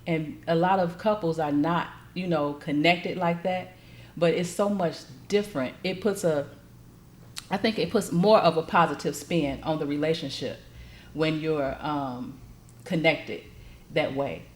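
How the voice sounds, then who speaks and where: A woman from Chicago, a podcast guest who talks in a warm, affirming way with frequent agreement. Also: deep voice, unhurried, reverberant. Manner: warm, affirming, lots of mm-hmms. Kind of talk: casual conversation.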